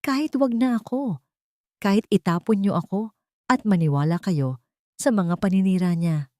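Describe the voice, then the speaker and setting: A woman's voice: medium pitch, moderate pace, dark tone, no echo, lightly acted. A desperate wife and mother in an audio melodrama, as a woman narrator plays her. She is betrayed and begs with urgency. The sound is very dry. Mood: desperate pleading.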